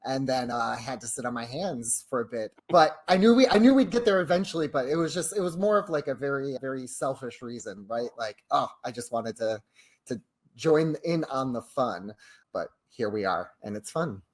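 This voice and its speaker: Writer guest, medium pitch, informal podcast chat, good-natured with hesitant phrasing. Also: moderate pace. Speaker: writer on the show.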